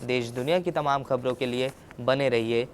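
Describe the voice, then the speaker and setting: A man's voice: mid-range voice, fairly even intonation, normal speed, a little hiss or hum. A man presenting a news report, explaining as he goes and often restating his points.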